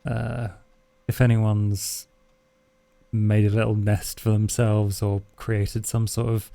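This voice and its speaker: Slow, mellow man playing a tabletop game. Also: lowish voice, level tone, vocal fry, no room sound. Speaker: player in a tabletop roleplaying podcast. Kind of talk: casual game talk, describing his character's actions.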